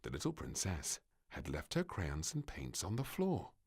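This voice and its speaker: Very deep-voiced male storybook narrator. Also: huge pitch swings, moderate pace, creaky, breathy edge. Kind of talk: expressive scripted children's story narration.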